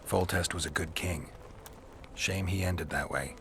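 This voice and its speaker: An actor playing a stoic male monster hunter in a video game, terse and deadpan with dry, laconic delivery. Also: deep voice, a little slow, background noise. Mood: dry, guarded. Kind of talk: video game dialogue acting. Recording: music behind the voice.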